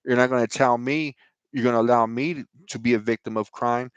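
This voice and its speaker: A man's voice: mid-range voice, steady tone, brisk, clean tone, dry recording, highs cut off. A man hosting his own online show, talking informally and emphatically about scripture and his own grievances, with many "right?" and "you know" asides. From United States. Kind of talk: informal religious talk to camera.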